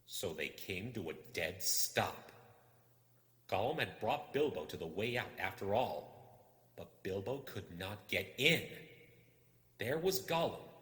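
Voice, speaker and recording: Male narrator for suspenseful fiction: theatrical, low-pitched, deliberately slow, in a reverberant space. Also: fairly bright tone. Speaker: audiobook reader. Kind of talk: dramatic storytelling narration. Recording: echoey, long reverb.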